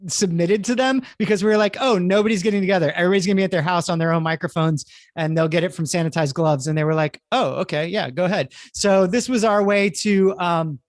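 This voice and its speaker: A man with a notably high voice and a dry, close sound hosts a casual video-call panel. He talks in a chatty, encouraging way. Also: fast, dry recording. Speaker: host of a theater livestream panel.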